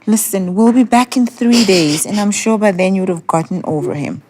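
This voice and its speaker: A woman's voice: mid-range voice, normal speed, a little mellow, a little hiss or hum, lightly acted. A woman acting as a caring, practical middle-aged mother in a TV sitcom, with a concerned manner. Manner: concerned, reassuring.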